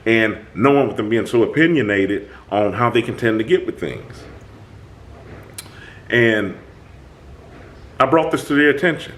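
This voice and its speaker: Host, male, booming voice, very animated intonation, talks to camera in an emphatic, reflective style. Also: a little slow, long pauses, slight background noise. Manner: animated, emphatic, many restarts. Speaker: channel host. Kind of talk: reflective self-talk monologue.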